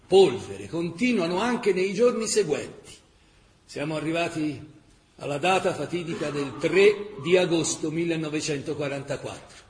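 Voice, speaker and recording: Actor as grave wartime chronicler, roomy sound. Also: male voice, fairly high pitch, a little hiss or hum, lightly acted. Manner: measured, emphatic, with dramatic pauses. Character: grave male chronicler of a besieged wartime city. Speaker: narrator in a radio drama. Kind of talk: dramatic scripted documentary narration. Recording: roomy, echoing radio theatre sound.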